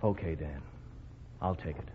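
Blunt cop, noisy old radio. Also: male voice, very deep voice, measured pace, phone-quality sound. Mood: relenting. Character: tough, grudging male policeman guarding his prisoner. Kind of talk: 1940s radio drama acting.

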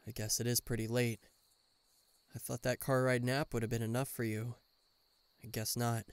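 A man's voice: medium pitch, no echo, natural voice. A man playing a guarded government bodyguard in an intimate listener roleplay, dryly teasing and reluctantly tender. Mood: resigned, caring. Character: gruff, guarded male government bodyguard, secretly fond.

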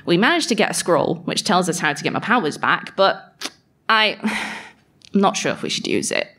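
A woman's voice: fairly low pitch, big pitch swings, moderate pace, a bit bright. A woman playing a sarcastic, grumbling fey creature in a live audio drama, complaining and swearing through a diary monologue. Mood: reluctant, unsure. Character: grumpy, sarcastic female fey creature, forced into diary-writing. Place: live on stage at a venue.

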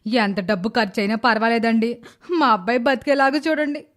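A woman's voice: fairly high pitch, steady tone, moderate pace, natural voice. A woman voicing a kindly, indulgent middle-aged mother-in-law in a dramatised moral story, with a coaxing manner. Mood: pleading. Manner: coaxing, placating.

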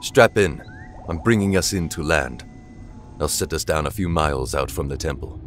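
An actor playing a grave, authoritative space pilot and guide in an audio drama, speaking tersely. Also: male voice, extremely low pitch, normal speed, a little hiss or hum. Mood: grave, commanding.

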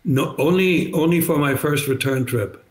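An older man with a slight German accent, German native, telling his story as a podcast guest over a video call, halting with many restarts. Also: on the high side. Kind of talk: conversational storytelling. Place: bright living room, indoors. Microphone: video call webcam.

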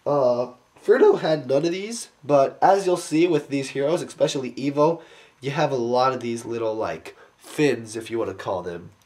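A young man giving a casual, rambling toy review indoors, with lots of fillers and quick enthusiastic asides. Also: mid-range voice, darkish tone. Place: indoors, carpeted room.

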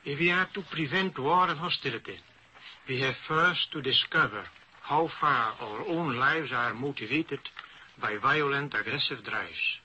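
A Dutch-accented man gives formal, careful remarks as an academic panelist. He speaks slowly and haltingly, with a very sharp, bright tone. The old radio recording is slightly noisy. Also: mid-range voice, dull audio. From Netherlands. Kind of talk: formal prepared symposium remarks.

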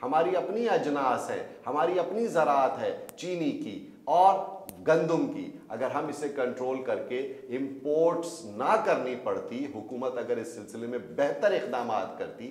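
A middle-aged man with a Pakistani accent, an economist giving deliberate explanatory analysis in a news interview, mixing in English financial terms. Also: mid-range voice, expressive, a little mellow. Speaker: economist, studio guest. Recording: roomy, echoing studio sound.

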